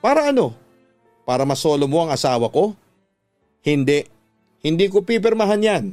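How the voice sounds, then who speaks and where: A man's voice: a little high, sing-song, normal speed, a little mellow, no room sound. A man voicing an anguished, defiant betrayed wife in a radio drama, pleading and accusing emphatically. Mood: furious, defiant. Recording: music bed under the voice.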